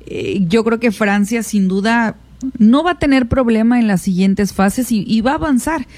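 A woman's voice: fairly high pitch, moderate pace, darkish tone, no room sound. A woman from Mexico giving enthusiastic, opinionated views on a sports talk show. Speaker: panelist.

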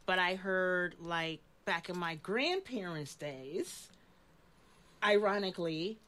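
A woman's voice: fairly low pitch, lively intonation, slow, a bit bright. A woman of about fifty hosting a casual community talk, often murmuring agreement as she listens. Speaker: talk show host.

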